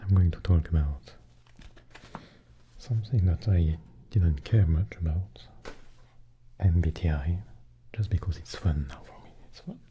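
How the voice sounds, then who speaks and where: Deep-voiced man whispering ASMR. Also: dull audio, slight background noise. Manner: soft unvoiced whispering, drifting close and far from the mic; slow, halting, chatty talk to viewers. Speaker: ASMR channel host. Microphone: close microphone.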